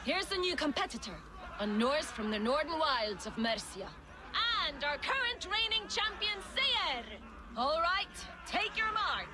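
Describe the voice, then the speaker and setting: An actress playing a confident medieval archery contest master in a video game, with a brisk, commanding manner. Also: female voice, high-pitched, lively intonation, very noisy. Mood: rousing, announcing. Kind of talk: dramatic game acting, contest announcing. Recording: loud music behind the voice.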